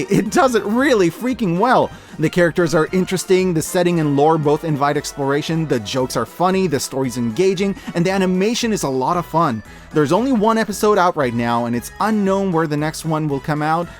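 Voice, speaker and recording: High-voiced, animated man reviewing media. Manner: emphatic, hyperbolic, informal with swearing. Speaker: video essayist reviewing media. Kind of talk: opinionated scripted review narration.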